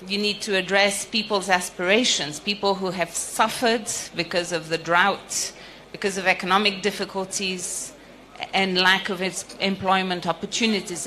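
A middle-aged woman delivering a formal ceremonial speech as a diplomat, speaking in measured phrases with pauses, into a handheld microphone at an outdoor ceremony. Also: on the low side, pushed and bright, rough recording. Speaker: EU diplomat giving an official address. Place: outdoor ceremony with a large seated audience. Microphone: handheld microphone held close.

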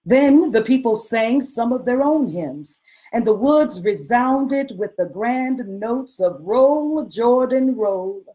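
A woman giving a measured, expressive dramatic reading of a literary text over a video call. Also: fairly high pitch, low-quality recording. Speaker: reader performing a historical diary. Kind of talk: dramatic literary reading with some sung hymn.

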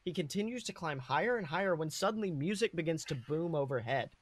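A man's voice: a little high, animated, fast talker, no room sound. A man co-hosting a podcast, reading and discussing his own comic fan fiction. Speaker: podcast co-host.

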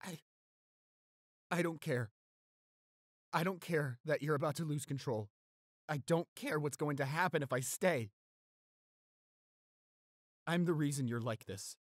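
Guy acting as a worried young boyfriend in a roleplay audio, devoted, stammery and pleading, slow talker, really dry sound. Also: fairly high pitch, no room sound. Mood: tender, pleading.

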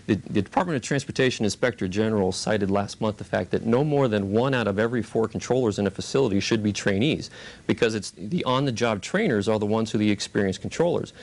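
A man's voice: fairly low pitch, quick pace, a little mellow. A man speaking as a spokesperson, giving explanatory answers in an interview. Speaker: union spokesperson.

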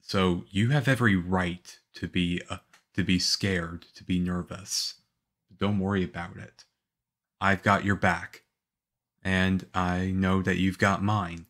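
Guy doing a soft-spoken calm agent for asmr roleplay, slow deep voice, reassuring. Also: heavily acted.

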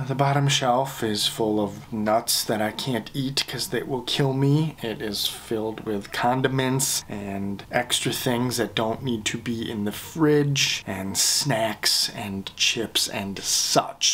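A man in his thirties telling a comic story at home to a close camera, animated and profane, jumping into whispers and mock-grand phrasing. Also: medium pitch. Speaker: vlogger. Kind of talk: comedic storytelling rant. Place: living room at home, indoors. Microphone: camera close up at face level.

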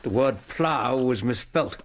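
An English actor playing a loyal, genial male doctor and companion in a radio drama, speaking with gentle humour. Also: mid-range voice, animated, unhurried, slight background noise, lightly acted. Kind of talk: 1990s radio drama acting.

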